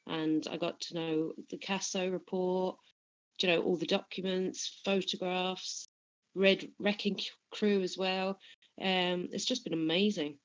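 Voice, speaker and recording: A woman's voice: lowish voice, steady tone, unhurried, clean tone, highs cut off. A woman with an English accent, a guest on a casual podcast, talking eagerly about how she got involved. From England. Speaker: guest researcher.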